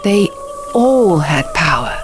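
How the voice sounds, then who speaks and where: A woman voicing an earnest young man who recounts dark ancient lore in an audiobook, measured and theatrical, pausing for effect. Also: on the low side, very animated. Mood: solemn storytelling. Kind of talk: audiobook character dialogue. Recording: music bed under the reading.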